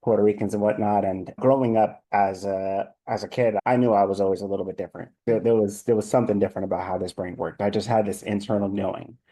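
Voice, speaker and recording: Male guest in his 30s from NYC, low mellow voice, candid personal storytelling. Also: fairly even intonation, fast talker, hardly any pauses, low-quality recording. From New York City. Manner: open, reflective, with frequent restarts. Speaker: life coach, guest. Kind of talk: conversational storytelling.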